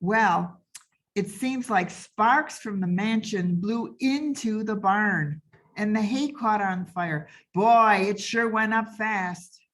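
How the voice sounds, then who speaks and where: Woman as a slow, folksy bearer of bad news. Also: medium pitch, fairly bright tone. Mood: sheepish. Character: folksy, blithely apologetic servant breaking bad news. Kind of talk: old-time radio comedy acting.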